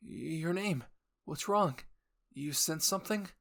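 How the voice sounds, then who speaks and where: A man voicing an anxious, higher-pitched young soldier. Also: lively intonation, slow, vocal fry, fairly bright tone, heavily acted. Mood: urgent, resolved. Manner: hesitant, stammering, pleading. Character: anxious, unsure young male soldier turned strategist. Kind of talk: anime fan roleplay voice acting.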